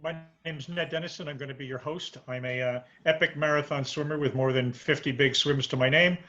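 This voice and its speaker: A man hosting a casual interview over a video call, asking questions in a relaxed, joking way. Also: on the high side, a little fast, dull audio. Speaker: host.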